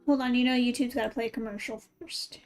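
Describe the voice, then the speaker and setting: Female game streamer, high voice, informal and chatty, thinks aloud to viewers, faint music underneath. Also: steady tone, quick pace. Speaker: game livestreamer. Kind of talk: casual livestream chat with viewers while playing.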